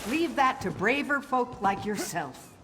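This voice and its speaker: A wary townswoman from a fantasy video game. Her voice sits slightly high. Noticeable background noise runs beneath her words. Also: big pitch swings, unhurried. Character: wary female townsperson who avoids danger. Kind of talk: video game character dialogue.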